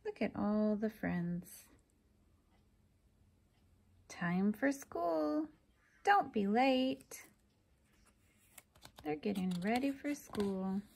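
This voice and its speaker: Slow, expressive woman reading aloud to children. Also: medium pitch, gravelly. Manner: deliberate, pauses between phrases, asks listeners questions. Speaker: teacher reading a picture book aloud to children. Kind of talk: expressive children's picture-book read-aloud.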